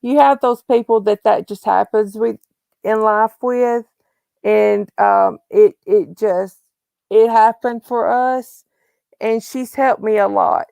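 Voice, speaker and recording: A woman's voice: mid-range voice, steady tone, slow pace, creaky, dark tone, no room sound. A woman hosting a casual livestream, chatty and often restarting her phrases. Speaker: livestream host.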